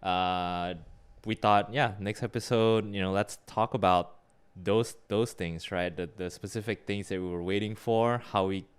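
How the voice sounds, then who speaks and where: A young man with a very low voice that is remarkably smooth and resonant. He talks casually, hedging with lots of "you know" and "uh", and restarts his sentences as he goes. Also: steady tone, unhurried. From Singapore. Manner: hesitant, many fillers and restarts. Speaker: podcast co-host. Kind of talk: casual conversational podcast.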